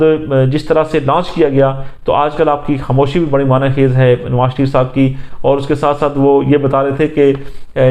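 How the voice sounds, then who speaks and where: A man with a Pakistani accent giving unscripted political news commentary, recounting things in a halting, repetitive way with many fillers. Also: mid-range voice, darkish tone.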